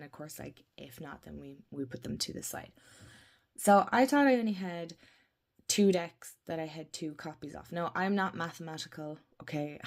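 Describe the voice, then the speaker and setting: A woman chatting casually to camera about her tarot decks, croaky from a head cold, rambling with laughs and soft murmured asides. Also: deep voice. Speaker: tarot deck vlogger. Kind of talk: casual vlog chat to camera. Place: indoors at a desk.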